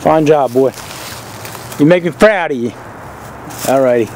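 High-voiced man joking over chainsaws. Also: slow. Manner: joking, teasing running commentary. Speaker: vlogger filming friends. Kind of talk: casual commentary behind the camera. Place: outdoor backyard with chainsaws running. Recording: loud chainsaw noise outdoors.

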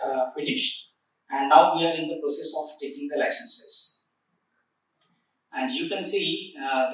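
A man in his forties, seated in an office, presenting a factory project in a formal way with many filler pauses. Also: on the high side, fairly bright tone. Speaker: project coordinator. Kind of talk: corporate site tour presentation. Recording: echoey room, recorded from a distance.

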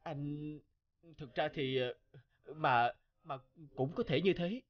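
A man voicing a torn, apologetic young man in an animated melodrama, hesitant and earnest. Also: fairly high pitch, slow, lo-fi sound.

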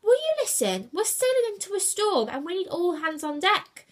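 Casting: exasperated male animal hero played by a woman, raised pitch, snappy, commanding, storybook adventure. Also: animated, normal speed, full character voice. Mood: angry. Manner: urgent, snapping, shouting orders. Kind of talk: children's storybook character voice.

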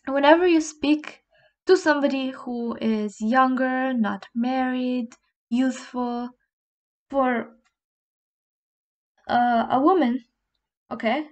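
A young woman, a native Slovene speaker, slowly and patiently teaching a language lesson over a screen recording, repeating phrases and checking in with "okay?". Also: high voice, darkish tone. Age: young adult.

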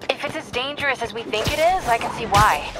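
An actress playing an earnest young reporter and ally in a video game, quick and determined. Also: female voice, a little high, lively intonation, noisy background, natural voice. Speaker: game character.

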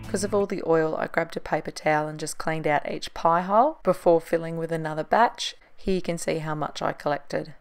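A woman's voice: low voice, steady tone, fairly quick, few pauses, smooth, soft background music. A woman in her thirties, chatting casually in a voice-over about what she cooked, laughing at her own shortcuts. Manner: chatty, self-deprecating, laughs at herself. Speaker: cooking vlogger.

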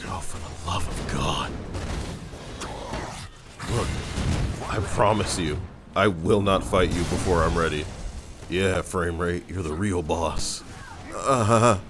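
Male gamer commentator, extremely low voice, sardonic and sweary, loud game music underneath. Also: slow pace, pauses often. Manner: sardonic, muttering complaints, profane. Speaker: gameplay commentator. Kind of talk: casual gaming commentary. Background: video game music.

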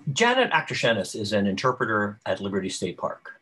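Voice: sits low, huge pitch swings, fairly quick, a bit bright, husky. A moderator hosting a panel discussion over a video call. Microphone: video call microphone.

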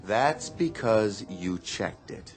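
A man playing a gruff bus depot ticket clerk in a radio drama, curt, sarcastic and dismissive. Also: lowish voice, animated, takes it slowly, faint music behind. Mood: curt, irritated.

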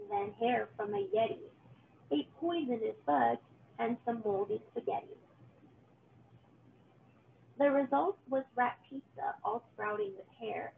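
A woman reading a children's storybook aloud in an expressive way, recorded on a computer's webcam microphone. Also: a little high, vocal fry. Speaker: storybook reader, teacher. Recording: thin phone-like audio, faint noise.

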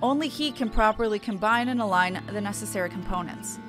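A woman voicing an earnest small male game character with formal, archaic, measured phrasing. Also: medium pitch, music in the background, lightly acted. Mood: polite, hopeful. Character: earnest, formal small grandson of an airship founder.

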